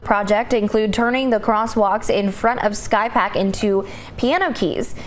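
Woman anchor in her 30s, mid-range voice, steady scripted news read, faint noise. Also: mid-range voice, a little slow. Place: TV studio.

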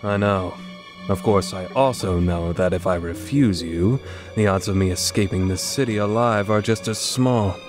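Man as a low-voiced, brooding swordsman. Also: normal speed, music in the background, lightly acted. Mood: aloof, disdainful. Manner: brooding, terse, formal. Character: stoic, battle-hungry young swordsman, proud and aloof. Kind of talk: fan dub of game dialogue.

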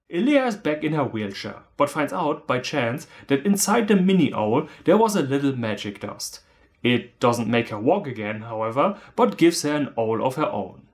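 A man in his thirties from Germany with a German accent, delivering a scripted, humorous film review in a sardonic manner. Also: medium pitch.

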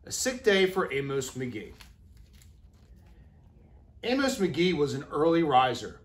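Lively, higher-pitched man reading to children. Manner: deliberate, expressive phrasing for young children. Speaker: educator reading aloud to kindergarteners. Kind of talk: children's storybook read-aloud. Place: classroom, indoors.

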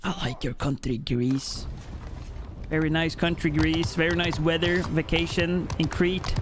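A man's voice: on the high side, steady tone, a little slow, noisy background. A young man from Norway on a headset, chatting with his live-stream chat while playing, jokey and rambling. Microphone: headset mic.

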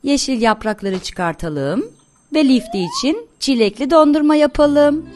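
A woman with a fairly high voice tells a toddler cartoon with very animated intonation. She is sing-song and encouraging, putting simple questions to small listeners over faint music. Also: a little slow. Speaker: narrator of a children's cartoon. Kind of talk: scripted narration for toddlers. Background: light music behind the voice.

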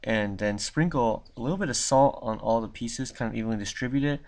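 A man's voice: medium pitch, a little fast, a little mellow. A young man hosting a casual home cooking tutorial, talking in a laid-back way with lots of fillers. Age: young adult. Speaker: cooking show host. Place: cluttered room mid-move, indoors.

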